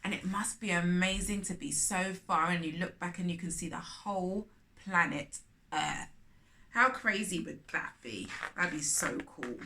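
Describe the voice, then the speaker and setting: A woman's voice: on the low side, a little slow, bright, forceful tone, slight background noise. A woman hosting a children's storytime, reading a picture book aloud expressively with chatty asides.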